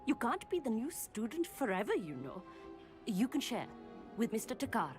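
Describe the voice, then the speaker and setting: A woman's voice: fairly high pitch, very animated, normal speed, music in the background. A woman playing a stern, exacting astronomy professor in a video game, with a formal, admonishing manner. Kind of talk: video game cutscene acting.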